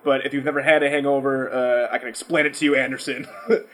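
A slightly tipsy man hosting a podcast, chatting casually and asking questions in an animated, incredulous way, swearing freely. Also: medium pitch, fast, a crowd in the background. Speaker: podcast host.

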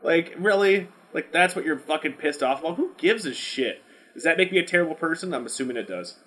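A man's voice: fairly high pitch, a little fast. A man co-hosting a podcast, talking in a blunt, profane, rambling way as he casually argues and mimics people. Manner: rambling, animated ranting with mimicked quotes. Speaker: podcast co-host. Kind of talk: casual movie debate.

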